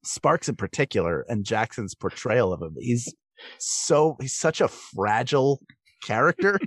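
A man with a mid-pitched voice talks as a podcast guest in a dry, close recording. He speaks casually and analytically, earnest and emphatic as he makes his case. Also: lively intonation, unhurried, fairly bright tone, no echo.

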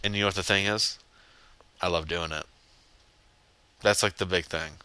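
A man's voice: low voice, fairly even intonation, normal speed, bright, forceful tone, no room sound. A young man hosting a casual solo podcast, blunt and swearing as he rambles, with a stuffy, congested nose. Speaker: podcast host.

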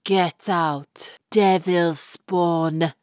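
A woman's voice: lowish voice, fairly even intonation, a little mellow, smooth, no room sound, studio quality. Woman putting on an ominous fortune teller, fake foreign accent. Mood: spitting anger. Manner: slow, halting, dramatic.

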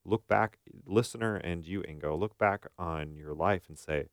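A man's voice: sits low, normal speed, clear, resonant tone, no room sound, clean studio sound. An American man co-hosting a podcast as a therapist, explaining his views casually and acting out bits of imagined dialogue.

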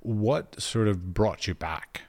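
A man hosting an interview, casual and chatty, quick to joke and react. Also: on the low side, animated, a little mellow. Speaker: interviewer host. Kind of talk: interview conversation.

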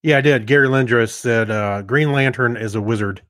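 A man co-hosting a podcast in casual, somewhat halting conversation. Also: medium pitch, fairly bright tone, dry recording, studio quality. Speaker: podcast co-host. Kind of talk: casual chat.

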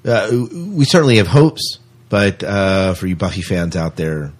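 A man co-hosting a podcast in casual conversation, halting and often repeating his phrases. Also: low voice, expressive. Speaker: podcast co-host. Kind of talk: casual film and TV discussion.

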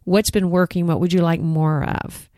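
Animated Texan woman podcast co-host. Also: fairly low pitch, normal speed, no echo, studio quality. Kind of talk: casual podcast chat.

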